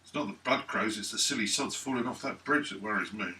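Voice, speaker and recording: A man's voice: on the low side, fast, pushed and bright. A man playing a tabletop game, chatting and joking casually with the group. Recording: roomy far-off tabletop recording, faint noise.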